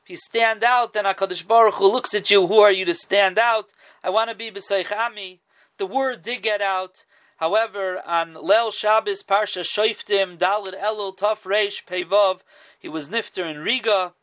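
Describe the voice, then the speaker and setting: A man's voice: high voice, fairly even intonation, normal speed, a bit bright. A man telling a rabbinic story in casual speech, often restarting and repeating his words. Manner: restarts and repeats words, Yiddish and Hebrew terms. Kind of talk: casual spoken Torah storytelling.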